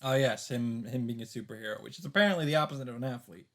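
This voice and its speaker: A man's voice: medium pitch, racing, creaky. A man narrating a visual novel aloud and adding casual commentary as a let's-play host, with game music behind him. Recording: background music.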